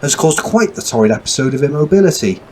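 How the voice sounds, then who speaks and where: A woman's voice: low voice, lively intonation, fast, warm tone, a little hiss or hum, pitched below the performer's own voice. A woman voicing a scheming boy who puts on pompous, over-formal politeness in a crime audio drama. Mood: falsely polite. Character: scheming teenage boy feigning injury with mock-posh politeness.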